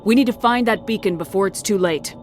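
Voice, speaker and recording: An actress playing a blunt military commander with sarcastic, cutting remarks, over game music. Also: female voice, medium pitch, fairly quick, close to an everyday voice. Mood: stern. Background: game score music.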